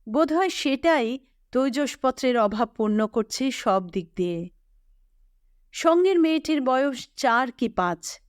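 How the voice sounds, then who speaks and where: A woman, reading a scripted literary story as host in a measured, expressive way. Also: sits high, clear, resonant tone, no room sound, studio quality.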